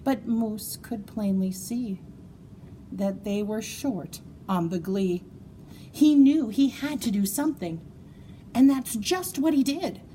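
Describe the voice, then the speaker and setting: A woman reading a children's story aloud in an expressive, playful way, with dramatic emphasis and drawn-out words. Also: a little high, a little hiss or hum, poor audio. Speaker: storybook reader.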